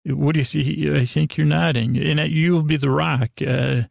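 A man hosting a podcast with a silly improvised monologue to an imaginary guest, halting and stammering as he meanders and restarts in mock earnest. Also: on the high side, fairly even intonation, creaky, no echo, clean studio sound. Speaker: podcast host.

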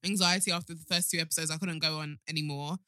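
A woman's voice: sits low, steady tone, quick pace, bright, forceful tone, smooth, dry recording, clean studio sound. A young woman of Yoruba background co-hosting a casual podcast chat, emphatic and talking straight to listeners. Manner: chatty, emphatic, addresses listeners directly. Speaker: podcast co-host.

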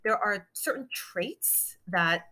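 A woman co-hosting a podcast in casual chat, direct and straightforward, with measured delivery. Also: mid-range voice, expressive, sharp tone. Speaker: podcast co-host.

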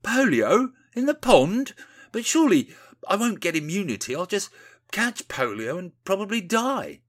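A man's voice: fairly high pitch, sing-song, normal speed, a bit bright, voice pushed up. A man voicing an indignant, boozy, downtrodden village miller with a blurry, plaintive way of talking in a satirical storybook parody. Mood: outraged.

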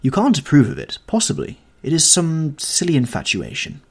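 A man voicing an earnest, anxious male painter with an excitable, protesting manner, reading a storybook aloud. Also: fairly high pitch, normal speed, gravelly, breathy edge, voice pushed up, exaggerated voice. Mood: agitated.

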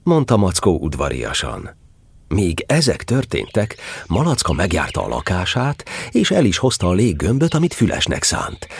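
A man narrating a children's story in an audio drama, with expressive scripted storytelling. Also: low-pitched, no echo. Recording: clearly audible background noise.

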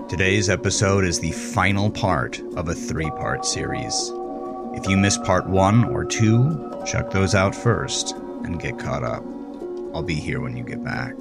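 A man hosting a horror story podcast, reading a scripted introduction in a deliberate, spooky manner. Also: deep voice, vocal fry. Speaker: podcast host and writer.

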